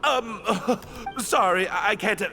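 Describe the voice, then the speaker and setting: A man's voice: sits high, unhurried. A man voicing an anxious, polite young man in a video game, hesitant and stammering. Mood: flustered. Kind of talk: video game character acting.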